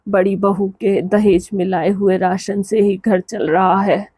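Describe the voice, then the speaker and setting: A woman's voice: mid-range voice, steady tone, measured pace, warm tone, husky, no echo, clean studio sound. A woman voicing a scolding, reproachful older mother-in-law in a cartoon moral story.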